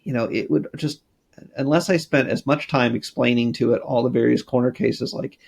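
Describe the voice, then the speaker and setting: Gravelly, husky-voiced man chatting casually. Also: medium pitch, steady tone, moderate pace, a little mellow. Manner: thoughtful, loose, trails off and restarts. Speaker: podcast co-host and app tester. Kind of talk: casual panel chat.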